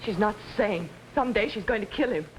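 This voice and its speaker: An actress playing an ambitious, manipulative young pianist in early 1950s television drama, pleading in a melodramatic way. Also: female voice, mid-range voice, animated, fairly quick, natural voice. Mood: anxious pleading. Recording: noisy old 1950s TV soundtrack.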